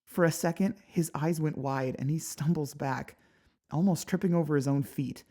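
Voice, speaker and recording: A woman narrating first-person fiction as a dramatic, expressive audiobook reader. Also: low voice, normal speed. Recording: background music behind the voice.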